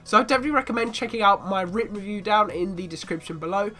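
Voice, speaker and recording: Guy reviewing a game with a stuffy cold, easygoing chatty delivery, bit of quiet music under him. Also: sits high, racing. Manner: chatty, leans on repeated "really, really". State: congested with a bad cold. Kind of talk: casual spoken review commentary.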